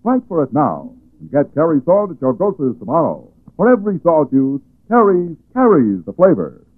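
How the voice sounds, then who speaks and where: A tinny old radio recording of a male announcer selling a sponsor's product. His voice is fairly high and animated, polished and emphatic in 1940s style. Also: moderate pace.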